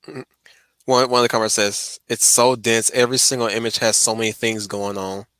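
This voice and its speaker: A man's voice: mid-range voice, little pitch movement, brisk, fairly bright tone, clear, resonant tone, no echo. A man chatting casually on a livestream as he reacts to a video, often repeating words as he finds his way. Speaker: stream host.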